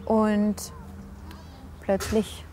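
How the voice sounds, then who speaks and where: A woman's voice: mid-range voice, completely flat delivery, extremely slow, background noise. A woman speaking frankly and reflectively in a documentary interview, with some hesitant fillers. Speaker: reality documentary participant. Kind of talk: personal interview narration. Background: background music.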